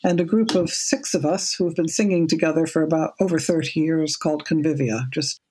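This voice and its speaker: A woman in her seventies with a low speaking voice, speaking as a guest on a podcast. She talks in a measured, thoughtful way and often repeats a word as she restarts a sentence. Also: fairly even intonation, mellow, a little hiss or hum. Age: 70s. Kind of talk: casual podcast interview.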